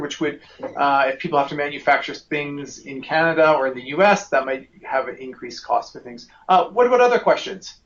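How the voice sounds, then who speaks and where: Male advisor moderating a call, accommodating and informal, fairly high voice, faint background noise. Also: a bit bright, highs cut off. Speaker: host, financial advisor. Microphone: conference call line.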